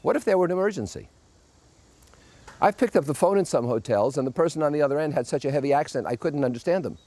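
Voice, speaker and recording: A man's voice: fairly high pitch, expressive, quick pace, mellow, no room sound. An older man from New Jersey with a New York accent, giving an emphatic, opinionated monologue with wry asides as a podcast host. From New Jersey, United States.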